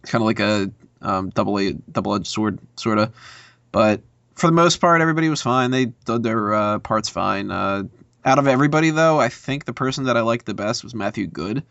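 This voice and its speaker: A man's voice: fairly low pitch, expressive, a little fast, creaky, a bit bright, dry recording, highs cut off. A man chatting casually and bluntly as a movie podcast panelist, giving his opinions.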